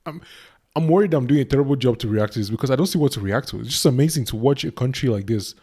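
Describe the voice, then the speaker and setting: A young man from Cameroon reacting to a video in casual commentary, excitable and stammering through restarts. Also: mid-range voice, rapid-fire, husky.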